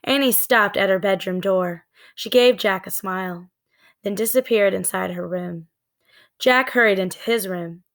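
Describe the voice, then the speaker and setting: A woman narrating a children's book in a deliberate, expressive storytelling manner. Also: medium pitch. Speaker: read-aloud storyteller.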